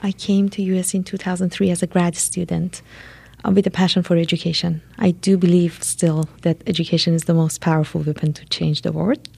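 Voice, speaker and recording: A woman from Iran, a guest on a radio interview, speaking softly and earnestly with many pauses. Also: fairly low pitch, little pitch movement, vocal fry, slightly rough. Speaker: guest, documentary platform co-founder.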